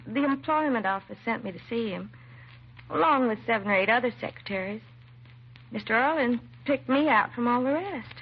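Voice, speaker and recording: An American actress playing a timid, self-doubting young secretary in a 1950s radio drama, hesitant and apologetic. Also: female voice, fairly high pitch, expressive, moderate pace, slightly rough. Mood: self-deprecating, nervous. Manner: hesitant, nervous, apologetic, trailing and restarting. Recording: muffled vintage radio recording, faint hiss.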